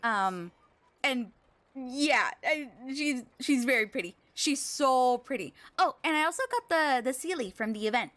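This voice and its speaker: A woman's voice: high voice, animated, measured pace, a bit bright. A woman livestreamer chatting casually with her viewers, thankful and gushing, using girlish slang. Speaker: video game livestreamer. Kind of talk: casual chat with stream viewers. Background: game music.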